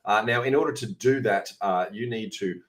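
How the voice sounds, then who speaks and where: A middle-aged man with an Australian accent, hoarse and raspy today, hosting a casual question-and-answer session and chatting through answers with many filler sounds. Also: fairly low pitch, moderate pace. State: hoarse, coughing. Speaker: host answering viewer questions. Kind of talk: informal Q&A session.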